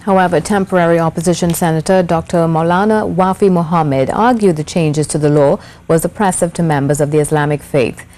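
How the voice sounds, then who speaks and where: Female news anchor, Trinidadian, lowish dark-toned voice, scripted presenting. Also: steady tone, a little fast, hardly any pauses.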